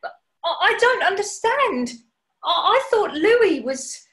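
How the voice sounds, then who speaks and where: Woman playing a very high-voiced, prim British housemaid. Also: very animated, slow, fairly bright tone, exaggerated voice. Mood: confused. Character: plucky, earnest British housemaid turned amateur sleuth. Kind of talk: 1940s radio play acting.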